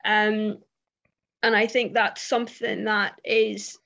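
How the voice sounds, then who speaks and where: A woman's voice: mid-range voice, little pitch movement, takes it slowly, vocal fry, a bit bright. A British woman on a panel who stammers, talking casually with many fillers and repeated words. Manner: stammers, frequent fillers and repeats, laughs between points.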